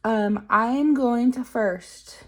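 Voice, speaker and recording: A woman's voice: on the high side, extremely slow. A woman in her twenties telling a long story in a chatty vlog, her voice a little strained from a cold. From Utah. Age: 20s. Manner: chatty, rambling, frequent ums. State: recovering from a cold, slightly strained voice. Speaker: planner vlogger.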